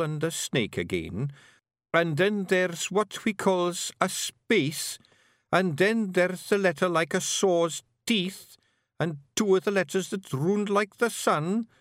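A man voicing a small, blustering male fairy clan chief in a broad Scottish accent, gruff and boastful. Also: fairly high pitch, a bit bright, no room sound, clean studio sound, pitched above the performer's own voice, heavily acted. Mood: laboured reading. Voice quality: gruff, boastful, quick to bristle.

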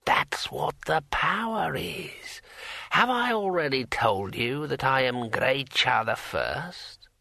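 Storybook undead elder: brittle old voice, very slow and halting, swooping pitch, musing and muddled. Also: male voice, lively intonation, gravelly, pushed and bright, no echo, studio quality, heavily acted. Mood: musing, conversational. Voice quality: brittle, aged. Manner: slow, halting, drawn-out delivery. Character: slumped dead old man, conversational, rambling, confused about time.

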